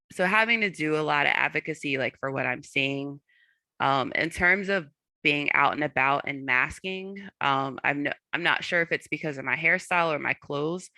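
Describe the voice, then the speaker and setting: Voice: mid-range voice, gravelly, sharp tone, no room sound. A young adult clinician speaking candidly on a panel, earnest, with many fillers.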